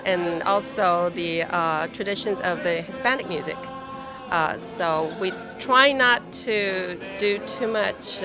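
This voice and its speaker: A woman choir director speaking in an interview, relaxed and a little hesitant. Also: on the low side. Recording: music behind voice.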